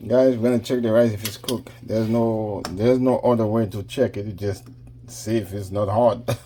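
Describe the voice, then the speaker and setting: A man's voice: on the low side, steady tone, normal speed, mellow, a little hiss or hum. A man casually talking viewers through a home cooking vlog, chatty and addressing them directly. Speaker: cooking vlogger.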